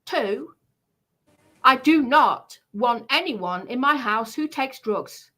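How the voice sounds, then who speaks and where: Bright, high-voiced indignant woman. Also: animated, slow. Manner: indignant, emphatic, repetitive. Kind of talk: heated personal account on a livestream.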